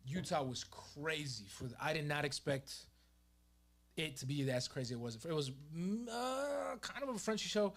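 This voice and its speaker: A man's voice: fairly high pitch, expressive, normal speed, a bit bright. A man whose first language is Spanish, co-hosting a casual livestream chat, loose and laughing, often swearing and tripping over names. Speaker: podcast co-host.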